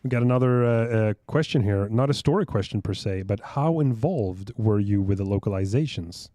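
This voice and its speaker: Chatty low-voiced man hosting a stream. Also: expressive, a little fast, mellow, no room sound. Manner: chatty, rambling, reads out viewer questions. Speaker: stream host. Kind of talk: casual livestream chat.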